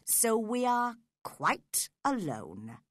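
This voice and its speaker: A woman's voice: low-pitched, animated, slow pace, sharp tone, no echo, studio quality, pitched below the performer's own voice, heavily acted. A woman voicing a cold, scheming, cruel father in a dramatised audiobook. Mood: calm menace.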